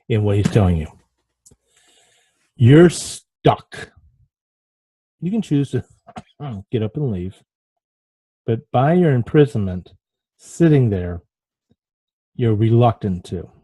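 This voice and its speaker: A man hosting an informal writing lesson, halting and apologetic as he talks and reads aloud, often stumbling over his words. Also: lowish voice, mellow, husky, dry recording, poor audio. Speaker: host of a writing podcast. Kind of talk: informal lesson with passages read aloud from a book.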